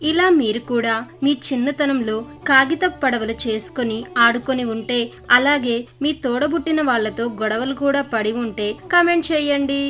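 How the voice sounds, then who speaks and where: A woman's voice: sits high, normal speed, fairly bright tone. A woman reading scripted narration for a children's story as its narrator.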